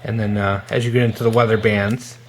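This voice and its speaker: A man giving a casual, hands-on product demonstration in an informal, halting way as a gear reviewer. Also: lowish voice, flat delivery, slight background noise, low-quality recording.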